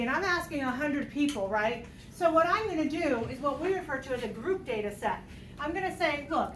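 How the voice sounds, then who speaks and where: A woman's voice: high voice, bright, forceful tone. A woman teaching a math class, playful and jokey as she works through examples aloud. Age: 50s. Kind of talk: classroom lecture. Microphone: distant room mic. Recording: echoey classroom, distant mic, noisy.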